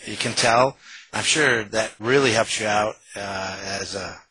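A man's voice: fairly low pitch, measured pace, bright, forceful tone, slightly rough, no echo, low-quality recording. A male interviewer asking casual questions in hesitant, choppy phrases.

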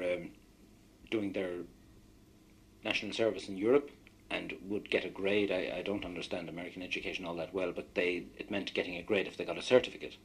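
Deep husky Irish man recalling stories. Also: a bit bright, low-quality recording. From Ireland. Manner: anecdotal, rambling recollection. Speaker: guest. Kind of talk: radio discussion.

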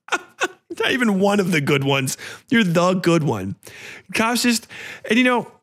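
A man's voice: sits high, huge pitch swings, slow pace, fairly bright tone. A man hosting a podcast, giving casual, opinionated film commentary in a blunt, profane, sarcastic, ranting way. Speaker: podcast host reviewing a horror film.

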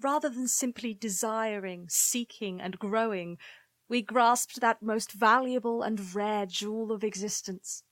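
A woman's voice: fairly high pitch, unhurried, a bit bright, no room sound. A woman acting as a dutiful historian of a besieged nation, giving a grave, formal, eloquent account in an audio drama. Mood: reverent.

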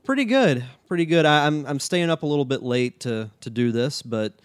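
A man hosting a casual podcast interview, relaxed and chatty, often restarting his sentences. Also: a little high, a little fast, smooth. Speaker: podcast host.

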